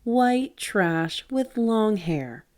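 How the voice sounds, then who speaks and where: A woman voicing a drunk, sneering rich teenage bully who talks slowly and unsteadily. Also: medium pitch, lively intonation, a little mellow, voice pushed up. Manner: slow, unsteady, taunting.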